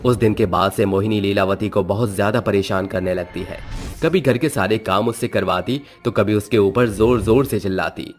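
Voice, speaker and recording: A man's voice: fairly low pitch, moderate pace. A man narrating a scripted moral story as a storyteller. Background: background music.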